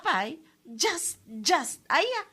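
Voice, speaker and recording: A woman's voice: high-pitched, big pitch swings, drawn-out words, pushed and bright, exaggerated voice. A woman with an Ilocano accent playing a cheeky, boastful, unapologetic wife in a comedy drama, smug and drawling.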